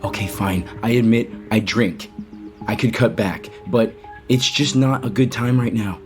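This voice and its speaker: A man's voice: fairly low pitch, a little slow, over music, natural voice. A man acting in an audio soap opera as a defensive, sarcastic younger brother who runs the family company and drinks too much. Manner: irritable, sarcastic.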